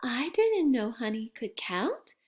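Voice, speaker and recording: A woman's voice: on the high side, sing-song, slow. A woman voicing a fussy, doting grandmother bear from a children's picture book. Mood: surprised. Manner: cooing and scolding.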